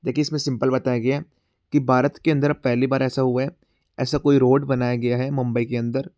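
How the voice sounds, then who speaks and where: A man's voice: mid-range voice, flat delivery, a little mellow, dry recording, clean studio sound. Man teaching exam prep with sore throat. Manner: repeats "theek hai" as a filler, mixes Hindi and English; reads English questions slowly. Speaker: current affairs teacher. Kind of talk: exam-prep class, explaining quiz questions.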